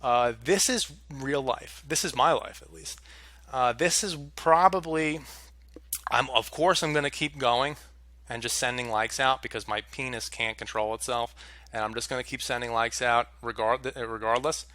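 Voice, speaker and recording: A young man vents to the camera about something that went wrong, sounding exasperated and swearing freely. His voice is bright and pushes forward. The sound is dry, with no room echo. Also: mid-range voice, normal speed. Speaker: vlogger. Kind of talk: casual storytelling rant to camera.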